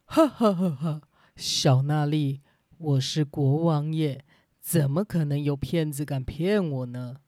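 A vain fairy-tale king, played by a woman storyteller, speaks in a lowered register. The delivery is grand and amused, stretching words pompously for a children's audio story. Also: slow, mellow, dry recording, clean studio sound. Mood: amused, dismissive. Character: grand, amused, vain old-fashioned king, adult man.

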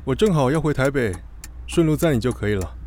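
A man playing a calm, easygoing, helpful businessman in an audio drama, unhurried and drily understated. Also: medium pitch, a little hiss or hum, close to an everyday voice.

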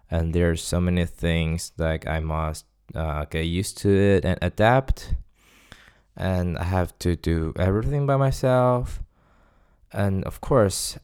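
A man in his twenties from Taiwan, with a Taiwanese accent and Mandarin Chinese as his first language, hosting a casual solo podcast and pausing often to search for words. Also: low-pitched, a little mellow, smooth. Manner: hesitant, searches for words with many pauses. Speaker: podcast host.

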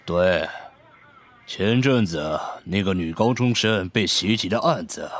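Brief: shrewd police captain, low-pitched, composed authority, radio drama. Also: male voice, unhurried, fairly bright tone. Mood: composed, businesslike.

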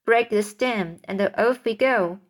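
A kindly storybook ladybug speaks with motherly reassurance, as a woman reads her lines. She takes her time, pausing and groping for words as she goes, never hurried. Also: a little high. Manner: reassuring, hesitant with fillers.

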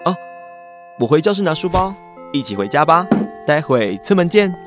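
A man's voice: a little high, sing-song, normal speed, music in the background. A man playing a cheerful, persistent teenage schoolboy with a crush in a radio drama, speaking with a Taiwanese accent in a playful, earnest way. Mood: bright, teasing. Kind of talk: Mandarin boys' love radio drama acting.

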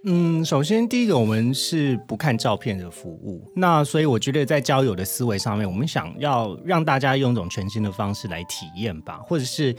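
A man's voice: mid-range voice, sing-song, fairly quick, hardly any pauses, faint music behind. A man with a Taiwanese Mandarin accent answering interview questions in a reflective, candid, conversational way. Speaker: podcast guest, interviewee.

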